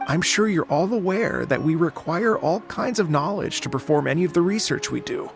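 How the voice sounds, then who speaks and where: Game casting: a talkative lazy young researcher, highish and gravelly, easygoing. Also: male voice, animated, normal speed, music in the background. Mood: candid, easygoing. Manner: talkative, explanatory, candid. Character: chatty, work-shy young male academic researcher. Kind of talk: video game voice acting.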